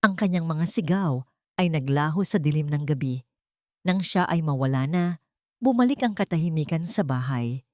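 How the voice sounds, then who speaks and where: A woman's voice: low voice, a little mellow, dry recording, studio quality. A woman reading a scripted first-person dramatic story as its narrator.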